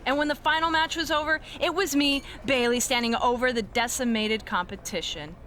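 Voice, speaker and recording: A woman's voice: high voice, fairly quick, slight background noise. An actress playing a boastful, bratty woman wrestling champion in a video game cutscene, smug and mocking. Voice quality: bright, taunting.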